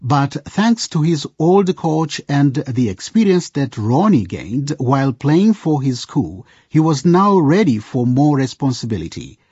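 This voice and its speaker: A man's voice: on the high side, sing-song, unhurried, hardly any pauses, darkish tone, husky, dry recording, lo-fi sound, full character voice. A man narrating a radio drama as a storyteller addressing listeners in scripted style. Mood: storytelling.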